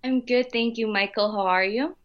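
A young woman from Thailand, a university student, answering interview questions in a polite, relaxed and friendly way. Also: on the high side, normal speed, lo-fi sound. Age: young adult. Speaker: guest student.

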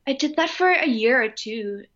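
A woman's voice: sits high, normal speed. Young woman from thailand being interviewed, polite and easygoing, bit muffled. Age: young adult. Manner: polite, relaxed and friendly. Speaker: guest student. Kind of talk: interview answers.

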